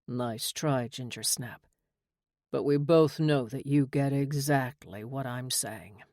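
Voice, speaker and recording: A romance hero, a smug and seductive military man with a brooding streak. He speaks in an intimate, teasing way at a middling pitch. The sound is dry, with no room around it. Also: slow, a little mellow, no echo. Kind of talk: audiobook romance dialogue.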